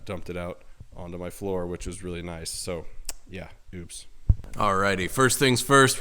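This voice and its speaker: A man's voice: fairly low pitch, noisy background. Young male car-vlog host, congested from a cold, chatty and self-deprecating, home garage. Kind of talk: casual garage vlog talk.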